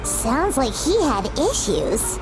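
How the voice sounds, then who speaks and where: A woman's voice: sits high, very animated, slow pace, over music, exaggerated voice, low-quality recording. A woman voicing a small, childlike fairy companion in a video game, chirpy, curious, candid and chatty. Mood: astonished. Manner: exclamatory, chatty.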